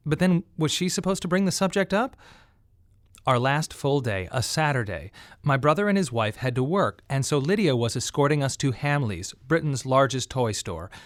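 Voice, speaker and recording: A medium-pitched male voice carries a first-person radio monologue as a grieving father played by an actor. He is plain-spoken and measured, with a wry, tense edge and deliberate pauses. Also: close to an everyday voice. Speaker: narrator and lead of a monodrama.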